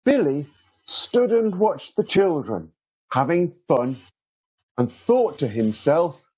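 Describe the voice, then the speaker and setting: Expressive husky man reading a children's story. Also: on the high side, slow pace. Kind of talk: scripted children's storybook narration.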